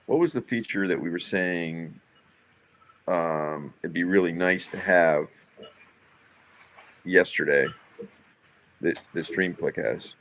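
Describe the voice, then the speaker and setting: A man talking casually in a business meeting about plans, hesitant and halting, often repeating the starts of words. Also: sits low, a bit bright. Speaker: live event producer planning a show.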